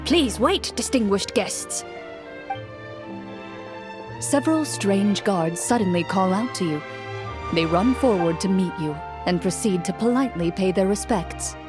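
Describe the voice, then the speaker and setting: A woman reading a game story aloud in steady, measured narration. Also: mid-range voice, over music. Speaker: story reader.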